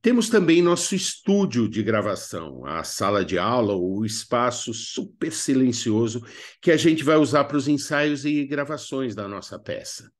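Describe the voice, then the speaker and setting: A Brazilian man narrating an instructional video as a workshop teacher, speaking expressively and didactically with emphatic pauses. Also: mid-range voice, big pitch swings.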